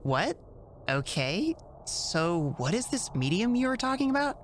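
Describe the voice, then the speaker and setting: A woman's voice: medium pitch, sing-song, a little slow, slight background noise. A woman voicing a polite, naive young boy hero, hesitant and earnest, in a cartoon fandub. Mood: confused, earnest. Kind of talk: fandub character acting.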